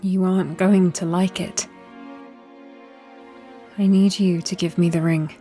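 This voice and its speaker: A woman playing a resolute, war-weary young princess in a fantasy audio drama, measured, formal and earnest. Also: fairly low pitch, level tone, warm tone, faint music behind, lightly acted. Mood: urgent, persuasive.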